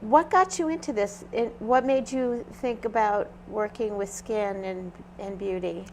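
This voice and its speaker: A woman hosting a local health talk show, friendly and halting as she asks her guest questions. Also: mid-range voice, darkish tone, a little hiss or hum, low-quality recording. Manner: friendly, hesitant with fillers and restarts. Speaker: host, nurse.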